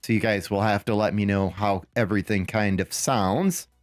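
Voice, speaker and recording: Raspy man streaming a game. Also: on the low side, steady tone, moderate pace, dry recording, clean studio sound, faint music behind. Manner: rambling, thinking aloud with fillers and restarts. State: raspy, recovering from lost voice. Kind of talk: casual live gameplay commentary.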